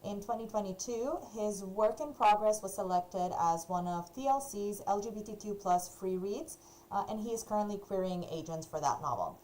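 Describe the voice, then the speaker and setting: A woman's voice: mid-range voice, animated, normal speed, darkish tone, rough recording. Woman host, online event intros, hesitant delivery with fillers. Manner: hesitant, many uhs. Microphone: video call.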